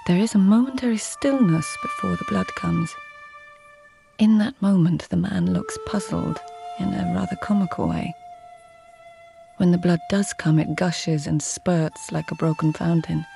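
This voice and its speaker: An actress playing a cool, sharp-minded lady-in-waiting and poet in a radio drama, husky, precise and detached. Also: fairly low pitch, measured pace, over music. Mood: detached, haunted. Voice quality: husky, creaky. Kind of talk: radio drama acting and literary narration.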